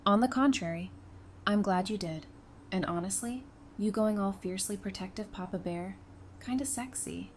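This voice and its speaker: A woman's voice: medium pitch, normal speed, a little hiss or hum, natural voice. A woman playing a level-headed, supportive, teasing wife and mother in an audio drama, speaking frankly and conversationally.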